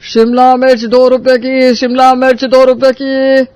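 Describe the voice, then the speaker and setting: A man's voice: very high voice, monotone, measured pace, a little mellow, clean tone, no echo. A man playing a persistent market vegetable seller who coaxes and wheedles in a story. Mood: hawking, coaxing. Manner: coaxing, calling out wares.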